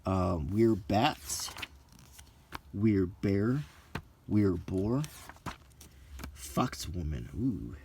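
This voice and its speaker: A middle-aged man giving halting, offhand commentary as he leafs through books, listing items one by one with casual opinions. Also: sits low, expressive, a little mellow, a little hiss or hum. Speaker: presenter of a hobby video. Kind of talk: unscripted casual commentary while leafing through books, unintentional ASMR.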